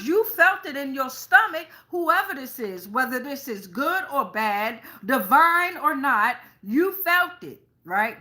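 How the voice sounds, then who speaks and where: High, bright-voiced woman reading tarot. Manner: colloquial, swearing, frequent check-in tags like okay and right. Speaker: host, tarot reader. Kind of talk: improvised tarot reading addressed to online viewers.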